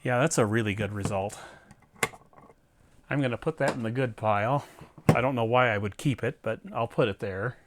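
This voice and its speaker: A man with a mid-pitched voice narrates hands-on repair work in a casual way. He thinks aloud step by step and speaks directly to the viewer, as the host of a do-it-yourself electronics video. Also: normal speed, long pauses, heavy background noise.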